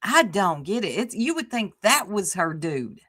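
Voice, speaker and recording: A woman's voice: medium pitch, sing-song, a little slow, a bit bright. A woman co-hosting a livestream, blunt and sarcastic as she comments and reads chat aloud. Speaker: livestream co-host.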